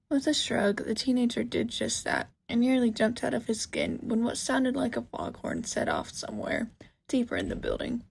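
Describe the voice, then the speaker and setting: A woman's voice: on the high side, gravelly, a little mellow, husky. A woman reading a scripted story aloud as its narrator. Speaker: narrator, fan fiction reader.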